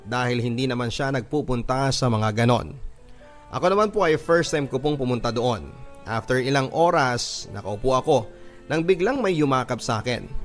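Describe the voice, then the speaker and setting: A man with a Filipino accent reading a personal love story as a radio drama narrator. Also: medium pitch.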